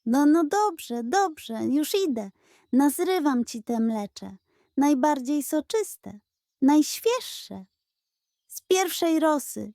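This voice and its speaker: A scheming pug from a children's audio story, acted by a woman. The voice is pitched high and sits close and dry. It pleads and sweet-talks with theatrical flair, always angling for a treat. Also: lively intonation, very slow, warm tone, no room sound, exaggerated voice. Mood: resigned, dramatic. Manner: pleading, dramatic, sweet-talking. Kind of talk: children's audio fairy tale.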